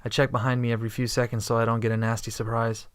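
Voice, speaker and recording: A man reading scripted first-person sci-fi narration in a steady, understated way. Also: mid-range voice, very fast, smooth. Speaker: narrator and protagonist.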